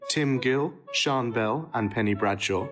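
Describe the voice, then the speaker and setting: A man's voice: mid-range voice, unhurried. A man reading formal scripted credits as an announcer. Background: violin music.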